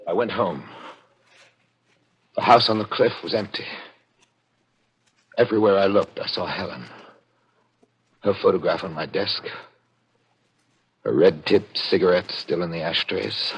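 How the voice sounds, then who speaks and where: A man's voice: deep voice, measured pace, pauses often, husky, highs cut off, exaggerated voice. An actor playing a guilt-ridden murderer narrating his own story in 1940s radio thriller style, brooding and melodramatic with desperate outcries. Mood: grim narration. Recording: old radio drama with music.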